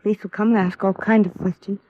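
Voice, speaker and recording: A woman's voice: medium pitch, little pitch movement, fast, close to an everyday voice. An actress playing a troubled, bitter young daughter in an old-time radio drama, blunt and defiant in her speech. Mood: wary, brooding. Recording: dull, noisy vintage radio recording.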